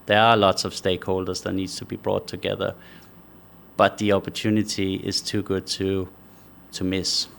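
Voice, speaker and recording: Gravelly low-voiced man. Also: flat delivery, fairly bright tone, slight background noise. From Denmark. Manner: measured, thinks aloud with frequent restarts. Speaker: guest, company founder. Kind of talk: conversational interview answers.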